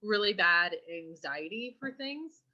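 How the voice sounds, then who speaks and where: A young woman from California co-hosting a casual podcast, chatty and often clearing her throat. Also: medium pitch, lively intonation, takes it slowly, sharp tone, dull audio. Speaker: podcast co-host. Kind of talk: casual chat.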